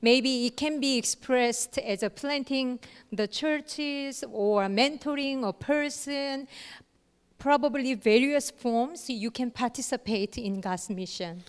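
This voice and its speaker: A woman giving a lecture in short, paused phrases with fillers, leaving room for an interpreter. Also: high-pitched, smooth. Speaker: guest lecturer. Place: lecture hall. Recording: long hall reverb.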